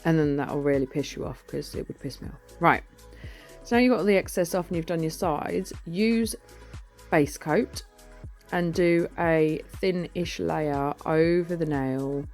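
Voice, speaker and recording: A woman with a deep, husky voice talks through a nail tutorial in a relaxed, informal way, often poking fun at herself. She records the voice-over on a phone, and soft music plays quietly underneath. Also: measured pace. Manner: chatty, informal, self-deprecating. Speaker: nail artist and educator.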